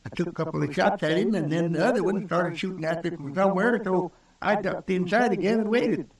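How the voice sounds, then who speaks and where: A man's voice: high voice, a little fast. An actor playing a naive, earnest male newcomer to the frontier in an old radio drama, folksy and hesitant. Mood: anxious earnest. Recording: roomy old radio recording, distant from mic.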